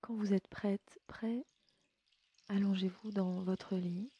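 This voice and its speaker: A woman leading a guided sleep meditation in a gentle, soothing, unhurried way, often slipping into a breathy near-whisper. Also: mid-range voice, fairly even intonation, highs cut off. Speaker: yoga nidra guide and podcast host. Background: music.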